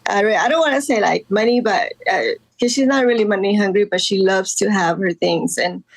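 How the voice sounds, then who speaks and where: Woman guest, from Guatemala, rambling and easygoing, middle pitch, dry room. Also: fairly quick, creaky, dry recording. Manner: rambling, lots of fillers and laughs. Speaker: guest artist. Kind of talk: casual interview conversation.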